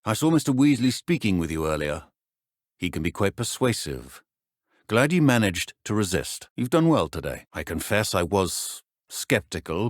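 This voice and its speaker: An actor playing a stern, dry-witted middle-aged British potions professor in a video game, speaking in a measured, exacting, deadpan manner. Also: male voice, lowish voice, no echo. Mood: dry, composed. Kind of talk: scripted game dialogue.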